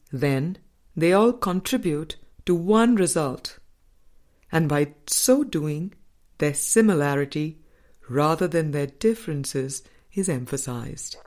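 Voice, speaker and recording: A woman reading a spiritual book as scripted narration, in a measured, deliberate manner with frequent pauses. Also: sits low, darkish tone. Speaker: audiobook reader.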